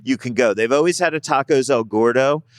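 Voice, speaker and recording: Food writer guy on a podcast, mid voice, dry close mic, excited and rambly. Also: medium pitch, brisk, no room sound. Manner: enthusiastic, rambling with fillers. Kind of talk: casual interview chat.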